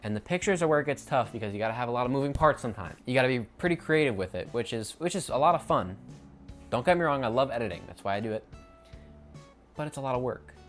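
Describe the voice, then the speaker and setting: A young man chatting to the camera with no script, wandering through his thoughts and stopping to fix his own words. His voice sits at an ordinary middle pitch, with some faint music underneath. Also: animated, fast talker, fairly bright tone. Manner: rambling, self-correcting, off the cuff. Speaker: YouTube creator. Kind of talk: unscripted casual vlog talk.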